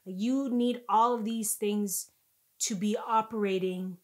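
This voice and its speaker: A young woman vlogger telling a story in casual chat, animated and expressive, recorded on a sofa in a living room. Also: medium pitch, a little slow.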